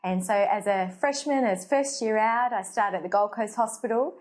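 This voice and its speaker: An Australian woman in her thirties, a doctor who hosts her own show, talks straight to the camera in an earnest, conversational way. Her voice sits at a middle pitch, and she fills her pauses with ums and small restarts. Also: a little mellow. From Portugal. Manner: earnest, conversational, frequent fillers. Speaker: holistic doctor, show host. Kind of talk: personal story to camera. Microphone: facing the camera.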